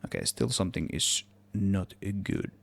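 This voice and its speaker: A man giving a casual software tutorial, thinking aloud, correcting himself and trailing off as he works. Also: deep voice, big pitch swings, normal speed, vocal fry. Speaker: tutorial presenter, game audio developer.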